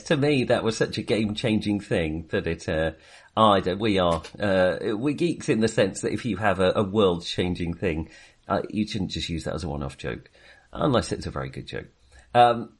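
A man co-hosting a casual film discussion, talking in a hesitant, halting way and circling back on his phrases. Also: fairly low pitch, breathy edge. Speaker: podcast co-host reviewing films.

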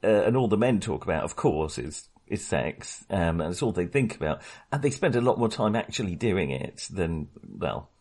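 A man's voice: low voice, big pitch swings, moderate pace, few pauses, a little mellow. A man co-hosting a film podcast in casual discussion, talking hesitantly, often interrupting himself and rambling.

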